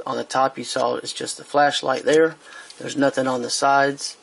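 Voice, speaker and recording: A man's voice: mid-range voice, gravelly, slight background noise. A man giving a casual product review while he handles a speaker on a tabletop, hesitant, with many fillers and restarts.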